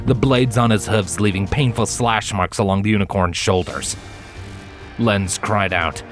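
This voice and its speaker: Low-voiced man narrating over music. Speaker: fan fiction reader, narrator. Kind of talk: dramatic scripted narration. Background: background music.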